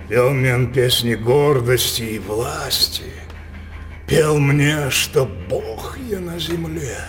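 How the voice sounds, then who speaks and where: An actor playing a decadent, aging king who is sensual and imperious, declaiming verse slowly in a radio drama. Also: mid-range voice.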